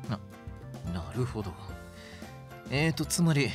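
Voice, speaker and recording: Earnest young army mage male actor, polite and puzzled, animated mid-range voice, anime radio drama. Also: medium pitch, slow, faint music behind. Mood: hesitant. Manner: polite, hesitant. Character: earnest, bewildered young male magic soldier.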